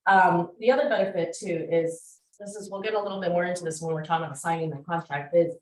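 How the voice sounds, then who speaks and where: A woman teaching a real estate class, chatty and energetic, with many fillers. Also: on the low side, fast. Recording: roomy classroom echo.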